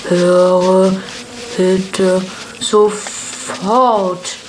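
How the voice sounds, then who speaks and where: A woman playing a polite female snail in a children's audiobook, speaking in a deliberate, drawn-out way. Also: mid-range voice, flat delivery, rough recording. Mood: annoyed. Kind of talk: children's audiobook character acting. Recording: clear background noise with insect ambience.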